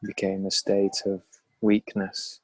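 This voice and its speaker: A man leading a guided meditation in a calm, unhurried manner with measured, pausing phrases. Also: deep voice, dry recording, low-quality recording.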